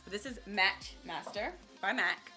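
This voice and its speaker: A young woman casually chatting to camera as a beauty vlogger, upbeat and talking straight to her viewers, her voice a little raspy. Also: mid-range voice, unhurried, bright, forceful tone, faint music behind. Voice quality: slightly raspy.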